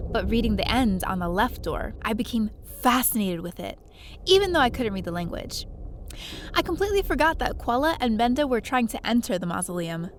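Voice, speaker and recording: A woman's voice: on the high side, slight background noise, natural voice. A woman playing a curious, sheepish young adventurer who narrates her own story in an audio drama. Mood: absorbed. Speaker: lead character and narrator. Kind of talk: first-person scripted story narration with dialogue.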